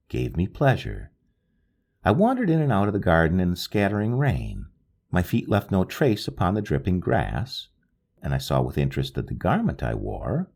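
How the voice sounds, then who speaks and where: A man narrating a ghost story with a slow, solemn, wistful delivery. His voice is gravelly and a little husky, sitting low, and it swoops widely between high and low notes. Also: a little mellow. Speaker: narrator reading a short story. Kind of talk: scripted literary narration.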